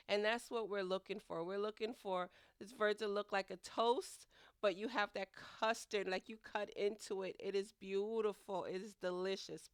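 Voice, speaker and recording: A woman's voice: mid-range voice, clear, resonant tone, no echo, clean studio sound. A middle-aged woman narrating her home cooking in a chatty, rambling way, sounding nasal from a head cold. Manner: chatty, rambling, laughs at herself. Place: home kitchen.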